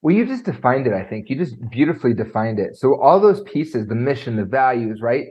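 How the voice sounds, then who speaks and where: Man, presentation coach, animated podcast talk, trained singer, slightly dull recording. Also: fairly quick, husky. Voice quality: trained high singing voice. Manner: animated, lots of fillers like "like" and "right?". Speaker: content and presentation skills coach. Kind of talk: conversational podcast interview.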